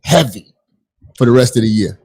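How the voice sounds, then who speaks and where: A man's voice: mid-range voice, expressive, normal speed, mellow, breathy edge. A man joining a podcast panel as a guest, swaggering and boastful in his banter.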